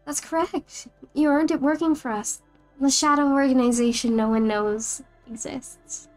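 A woman's voice: high voice, steady tone, a little slow, soft background music. A woman voicing a courteous but condescending operator of a secret agency's phone line. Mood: smug.

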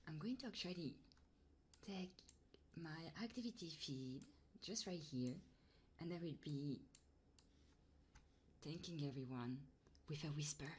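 A woman live streamer chatting casually and playfully in a soft, breathy ASMR style, often whispering, close to the microphone. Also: sits low, unhurried, long pauses, a little hiss or hum. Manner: casual and playful, swearing freely.

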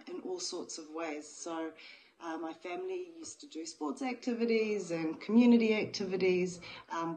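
A woman's voice: on the low side, a little mellow, poor audio. A woman in her thirties from New Zealand, a trade commissioner giving an earnest, prepared video message recorded in a small office. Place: small indoor office room.

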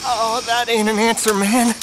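An actor playing a jittery, paranoid, defensive middle-aged tinkerer in a video game, nervous and stammering, with an American accent. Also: male voice, very high voice, flat delivery, normal speed, background noise. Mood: panicked, defensive. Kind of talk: video game cutscene acting. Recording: loud film-score music behind.

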